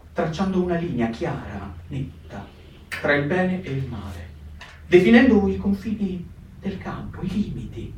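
A dead young partisan, played by a man, turns over the reason for his death, grave and searching, and keeps correcting himself. The voice sits a little high for a man. It is heard from some way off the mic in a roomy, echoing space. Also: darkish tone, slight background noise. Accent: Italian. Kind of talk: performed theatre reading on radio. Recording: roomy echo, distant mic.

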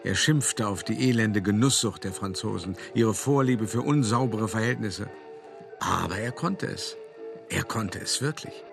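An actor playing a cultivated, self-justifying art dealer, narrating a radio play in a measured, articulate and confiding manner. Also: male voice, lowish voice, vocal fry, over music. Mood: reflective, persuasive. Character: cultivated, self-justifying male art dealer recounting his forgery scheme.

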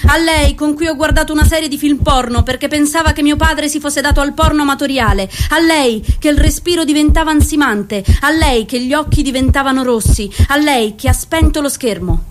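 A woman's voice: high voice, fairly quick, hardly any pauses, natural voice. An actress playing a bitter, mocking young woman, reciting theatrically in incantatory lists. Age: young adult. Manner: incantatory, list-like delivery. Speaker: stage actress. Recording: clear background noise.

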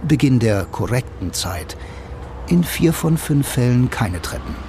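A man's voice: mid-range voice, sing-song, unhurried, darkish tone, a little hiss or hum. An actor playing a blind bank clerk who narrates his own story in a dry, laconic, musing way for a radio drama. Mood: wry, musing. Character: blind male bank clerk, wry film noir loner.

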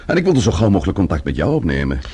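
A man's voice: low-pitched, huge pitch swings, racing, warm tone, husky, heavily acted. An actor playing an anxious, wry husband in a Dutch radio play, talking urgently and drily with his friend. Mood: earnest, uneasy.

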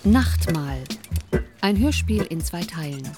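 An announcer reads radio play credits. The delivery is very slow and measured, in a medium register, with prominent music behind. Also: mid-range voice, very animated.

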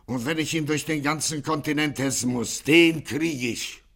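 A man's voice: on the high side, moderate pace, creaky, fairly bright tone. A man playing a furious, blustering Chicago gang boss out for revenge in a satirical 1950s radio crime play. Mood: furious, vengeful. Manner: blustering, exclamatory.

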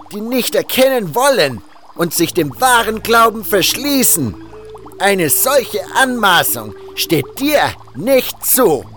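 A haughty, fanatical guru of a fantasy cult, acted by a man in an audio drama. He speaks slowly, hissing with contempt, and his pitch swings dramatically. Music plays behind the voice. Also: a bit bright, heavily acted. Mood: outraged.

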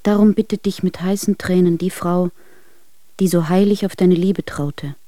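Woman acting an unhappy wife begging, dark mellow voice, dry radio play sound. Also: fairly low pitch, fairly even intonation, normal speed, no echo, close to an everyday voice. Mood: pleading. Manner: earnest, imploring. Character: unhappy, pleading young wife. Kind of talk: dramatic letter reading in a radio play.

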